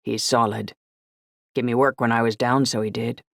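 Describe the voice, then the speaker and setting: A woman's voice: low-pitched, rapid-fire, dark tone, dry recording. A woman narrator puts on a young man in an audiobook story. He is boisterous, friendly and a little beery, with a conspiratorial way of confiding. His colours every phrase, and the sound is dry.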